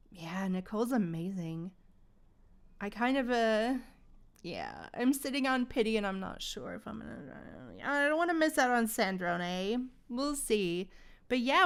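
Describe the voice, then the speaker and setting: A woman's voice: on the high side, smooth. A woman streaming a game, chatting casually with viewers in gamer slang. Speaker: game livestreamer. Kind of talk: casual livestream chat.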